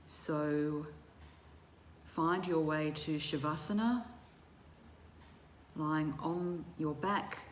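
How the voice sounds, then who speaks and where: A woman leading a guided relaxation as a yoga teacher, speaking in measured, soothing short phrases with long pauses. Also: deep voice, darkish tone. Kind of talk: guided meditation instruction. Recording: roomy echo with faint background noise.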